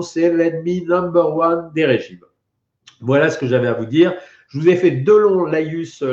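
A man's voice: mid-range voice, darkish tone. A middle-aged man with a French accent who is a doctor, chatting on a live stream with a head cold, explaining things with many hesitations. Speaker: doctor hosting a weight-loss live stream. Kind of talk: casual live stream talk answering viewer questions. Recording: roomy, dull lo-fi stream audio.

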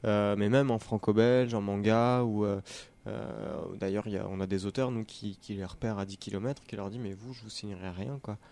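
A man's voice: on the low side, fairly even intonation, a little fast, darkish tone. A man chatting casually on a podcast, talking with many fillers and restarts. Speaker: podcast co-host, comics shop worker. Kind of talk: casual chat.

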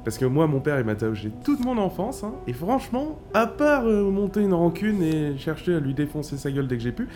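A man's voice: fairly high pitch, very animated, a little fast, few pauses, a little mellow, soft background music. An actor playing a sarcastic, resentful young man in a gang in an audio drama, with rambling sentences.

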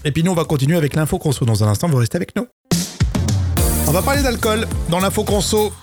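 A man's voice: high voice, expressive, quick pace, loud music behind the voice. A man hosting a French radio show, chatting casually and running quiz games with a co-host, laughing often.

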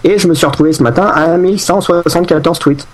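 A man's voice: fairly high pitch, quick pace, a crowd in the background. Rambling podcast co-host man. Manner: rambling, many fillers and restarts; jokey, fake accents for laughs. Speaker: podcast co-host, tech news segment. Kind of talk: casual tech podcast chat.

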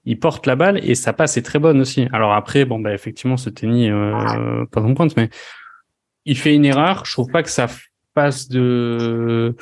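A man's voice: mid-range voice, normal speed, creaky. Guy running a rugby talk show, chill chatty tone, asks the others to weigh in. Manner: conversational, hands the floor to others. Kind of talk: casual sports chat.

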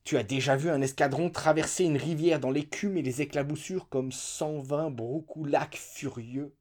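A man's voice: steady tone, moderate pace, close to an everyday voice. A man voicing a raving, unhinged old general who bellows battle cries in a dramatic story reading. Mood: boastful. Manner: roaring battle cries, sudden high shouts.

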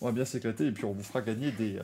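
A man's voice: fairly low pitch, rapid-fire, mellow, slight background noise. A man who grew up in Belgium, hosting a casual motorsport stream and signing off with thanks, laughing and rambling. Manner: laughing, rambling, self-mocking. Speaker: host.

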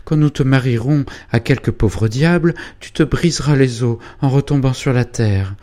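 A man's voice: low voice, fairly even intonation, a little slow, warm tone. A man reading a storybook voicing a severe, anxious middle-aged mother who scolds her daughter. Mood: alarmed.

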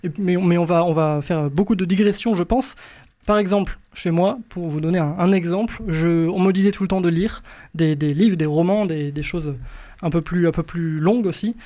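High-voiced young male radio host. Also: moderate pace, dark tone. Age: 20s. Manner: hesitant, many fillers. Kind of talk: casual chat.

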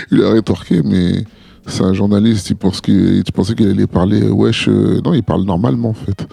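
A man co-hosting a podcast, giving measured advice with frequent hesitations and restarts. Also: lowish voice, fairly even intonation, gravelly, warm tone, husky, no echo. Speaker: podcast co-host. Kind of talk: casual podcast discussion.

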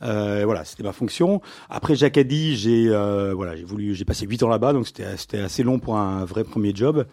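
A middle-aged man, a business owner, being interviewed and telling his career story in a relaxed conversational way, with frequent fillers and restarts. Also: fairly low pitch, brisk, hardly any pauses. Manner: hesitant, many fillers and restarts, livens up when amused.

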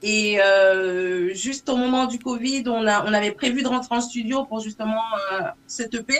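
A woman's voice: a little high, normal speed. A woman from Saint-Martin in the Caribbean, a native English speaker, talking casually as a singer being interviewed and feeling her way through broken phrases. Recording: noisy recording with clicks.

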